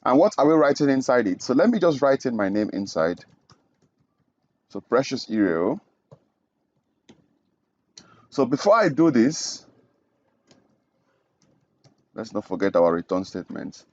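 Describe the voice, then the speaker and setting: A man's voice: medium pitch, big pitch swings, moderate pace, long pauses, a little mellow, dry recording, highs cut off. Man talking through a coding lesson. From Nigeria. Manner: explains step by step, often adds "okay?". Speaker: programming instructor. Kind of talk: screen-recorded coding tutorial.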